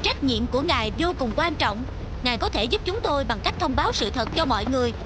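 Resolute cartoon superhero mom: firm, high, very animated. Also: female voice, fast talker, noisy background. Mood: earnest. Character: resolute superhero farmer mother in a children's cartoon.

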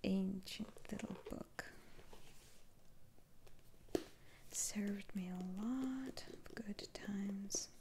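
A woman playing a soothing, eccentric witch doctor in a whispered ASMR roleplay, speaking in a hushed, halting way. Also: mid-range voice, noisy background. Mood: soothing, intent. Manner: slow, hushed, halting delivery with sighs.

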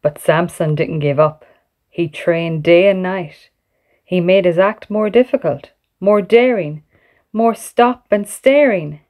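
A woman reading a children's picture book aloud as an expressive bedtime story. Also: lowish voice, slow. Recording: music playing behind the voice. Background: background music.

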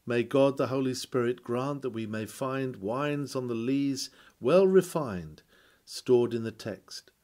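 A man reading an old sermon aloud in a solemn, declamatory way, with formal, measured and emphatic phrasing. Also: mid-range voice, darkish tone. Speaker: reader of a historical sermon. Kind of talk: sermon read aloud, formal scripted oratory.

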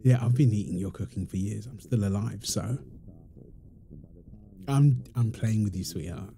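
A man's voice: lowish voice, moderate pace, gravelly, warm tone, a little hiss or hum. A man playing a playful, doting young father in an ASMR roleplay, teasing and affectionate with his family. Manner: teasing, affectionate, laughing easily. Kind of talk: ASMR roleplay, improvised casual talk.

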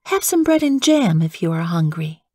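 A woman voicing a calm, caring mother badger in a storybook, patient and reassuring. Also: fairly low pitch, normal speed, breathy edge, no room sound, clean studio sound. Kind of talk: storybook character voice.

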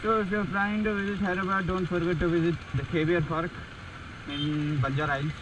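A young man with an Indian English accent, chatting casually about landmarks as he rides a motorcycle through city streets. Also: high-pitched. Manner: relaxed, pointing out landmarks with pauses and fillers. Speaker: motorcycle vlogger. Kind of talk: casual guided-tour narration while riding. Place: riding a motorcycle on city roads, outdoors. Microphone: action camera mounted on the bike. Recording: wind and traffic noise on an action camera.

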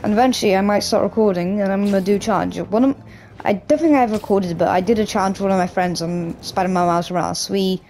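A man with a hoarse, croaky voice from a cough, casually rambling through gameplay commentary as a gaming YouTuber. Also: sits high, fast, hardly any pauses, a little mellow. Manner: rambling, loose, with many restarts and "like".

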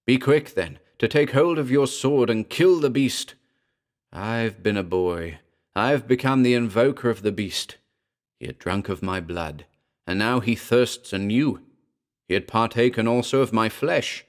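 A man with a very low voice reading the lines of a sharp, austere demon invoker who is really a boy, in a storybook.

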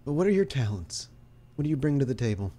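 A scheming crime boss, acted by a man in a roleplay game. He is smug and businesslike, bargaining coolly in a measured, medium-pitched voice. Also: sing-song, dark tone. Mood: cool, probing.

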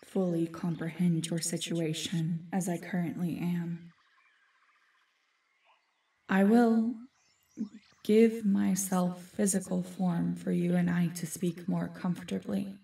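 Casting: actress for ancient female woodland guardian, fantasy audio drama, stern and formal, slow delivery, very reverberant distant sound. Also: on the low side, gravelly, mellow. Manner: formal, commanding, measured.

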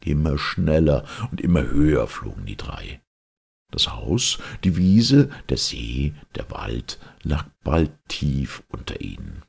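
A man reading a children's story aloud as an expressive narrator. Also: a bass voice, slow, vocal fry, slightly rough. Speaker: storybook reader.

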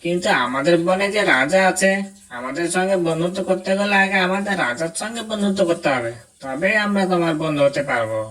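Woman playing a bright-voiced cautious mother bird. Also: on the low side, expressive, fast talker, recorded from a distance. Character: cautious mother songbird, gentle and watchful. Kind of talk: children's cartoon voice acting.

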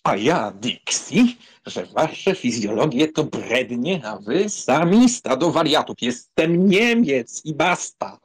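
An actor playing a hot-tempered, proud young count, impatient and ironic, in a dramatized audiobook. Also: male voice, medium pitch, big pitch swings, slow, husky, exaggerated voice. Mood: angry, impatient.

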